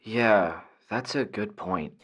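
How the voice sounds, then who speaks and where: A man's voice: lowish voice, slow, a bit bright, husky, heavily acted. A man playing an earnest, hesitant caller to an advice show in a naturalistic audio drama, mild in manner. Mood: receptive, sheepish.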